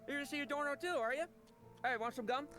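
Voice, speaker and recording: A man's voice: very high voice, fast talker, music in the background. An actor playing a playful, wry, ambitious young male knight with a teasing, casual manner in a video game.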